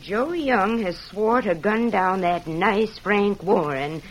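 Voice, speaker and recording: An actress playing a domineering, scolding middle-aged farm wife in an old radio western. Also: female voice, mid-range voice, takes it slowly, a little hiss or hum, lightly acted, low-quality recording. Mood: bossy, indignant. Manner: sharp-tongued, domineering, indignant. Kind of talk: 1940s-50s radio western acting.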